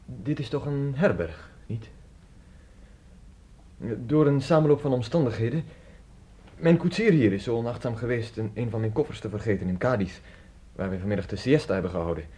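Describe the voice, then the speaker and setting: A man's voice: mid-range voice, moderate pace, dark tone, breathy edge, natural voice. An actor playing a well-to-do, curious male traveller in a Dutch radio play, speaking in a formal, wordy, commanding way. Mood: polite, brisk.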